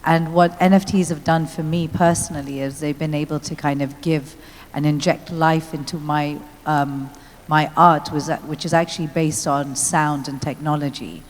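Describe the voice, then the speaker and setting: A woman's voice: low voice, steady tone, normal speed. A woman artist introducing herself conversationally as a panelist at a conference. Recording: echoey hall, faint ambient noise.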